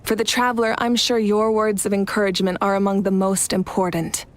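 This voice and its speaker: A woman voicing a resolute, composed female leader of a warrior nation in a video game, speaking in a measured, assured, formal manner. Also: mid-range voice, level tone, close to an everyday voice. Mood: solemn, resolute. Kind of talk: video game story voice acting.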